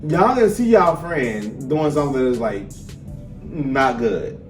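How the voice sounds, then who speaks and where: A man's voice: mid-range voice, expressive, slow pace. A man co-hosting a podcast in casual chat, blunt and emphatic, with plenty of swearing. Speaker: podcast co-host. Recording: echoey room, distant mic, faint music.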